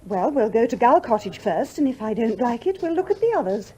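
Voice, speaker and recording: A young English widow in a radio drama, gentle yet determined. Her voice is fairly high and mellow, and it moves expressively up and down. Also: big pitch swings, brisk, poor audio. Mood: eager, insistent. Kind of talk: radio play acting.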